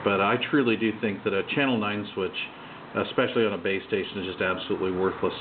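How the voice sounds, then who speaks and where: A man giving a casual, unscripted walkthrough of his hobby gear, halting often and tossing in offhand asides. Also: lowish voice, steady tone. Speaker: CB radio hobbyist presenting his own base station. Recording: muffled phone-like sound, loud background noise.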